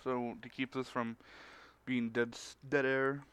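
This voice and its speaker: A man's voice: mid-range voice, level tone, unhurried, a bit bright, slight background noise. A man talking casually as a co-host in a group livestream chat. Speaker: stream co-host.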